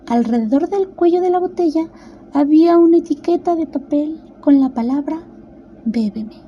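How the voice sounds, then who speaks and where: Very soft, mellow woman storyteller. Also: very high voice, moderate pace, muffled recording, slight background noise. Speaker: narrator. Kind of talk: scripted storybook narration for radio drama.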